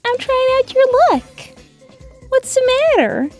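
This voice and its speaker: A woman voicing a sweet, devoted, excitable young female cartoon hedgehog in a comic dub, with girlish, emotional, dramatic delivery. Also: very high-pitched, takes it slowly, soft background music, exaggerated voice. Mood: soft, coy.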